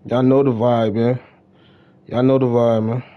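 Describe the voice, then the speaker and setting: A man's voice: mid-range voice, little pitch movement, a little slow. Young American male game streamer, slangy, repetitive casual chat, mid-range voice, dull lo-fi mic. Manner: slangy, repetitive greetings to viewers. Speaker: livestreamer. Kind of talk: casual gaming livestream chat.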